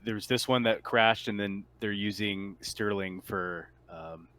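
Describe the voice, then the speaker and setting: Low-voiced man running a tabletop game. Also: a bit bright, smooth. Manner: halting, repeats and rephrases. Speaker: game master. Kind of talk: casual tabletop game refereeing.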